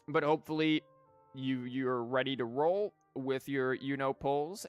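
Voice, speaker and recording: A young man wraps up a gaming livestream, talking casually into a desk mic and rambling with lots of restarts. His voice sits in an ordinary middle range. Quiet game music runs in the background. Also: medium pitch, clean tone. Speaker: livestream host. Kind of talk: casual gaming stream wrap-up.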